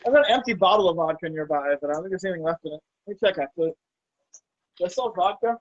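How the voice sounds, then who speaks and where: A man chatting over a video call from his hot tub, talking in a halting way with many restarts. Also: high voice, no echo, muffled recording. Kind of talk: casual chat. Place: home hot tub, outdoors in a storm.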